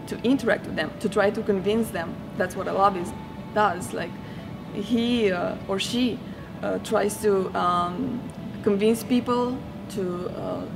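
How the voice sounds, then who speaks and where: A woman's voice: medium pitch, low-quality recording. A young woman from Romania, a student, answering interview questions hesitantly with many fillers. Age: young adult. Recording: music playing underneath.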